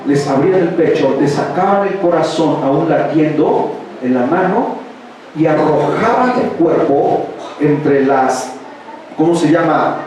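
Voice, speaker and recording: A man's voice: on the high side, expressive, unhurried, darkish tone, a little hiss or hum. A Mexican man with a Mexican Spanish accent, preaching a sermon in church and firing rhetorical questions at the congregation. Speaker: pastor. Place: large church hall with congregation. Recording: echoey hall, distant mic, congregation audible.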